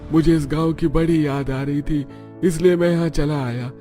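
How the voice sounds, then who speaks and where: A kindly old village man acted by a man in a cartoon tale, music playing underneath. His voice is mellow and somewhat high for a man, and he reminisces gently and gratefully. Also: fairly even intonation, moderate pace, close to an everyday voice. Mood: grateful, wistful. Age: older. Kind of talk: animated story dialogue.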